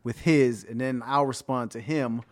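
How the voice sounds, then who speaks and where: A man's voice: mid-range voice, steady tone, moderate pace. A young man chatting casually, eager and playful, setting up a game among friends. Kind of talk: casual chat.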